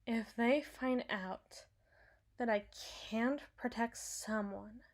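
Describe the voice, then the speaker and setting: A woman playing a cynical, menacing henchwoman with a hidden soft spot in an ASMR roleplay, brooding and sardonic, sometimes sinking toward a whisper. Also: a little high, steady tone. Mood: brooding, tender. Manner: slow, brooding, sardonic, dropping into breathy whispers. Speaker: audio roleplay performer.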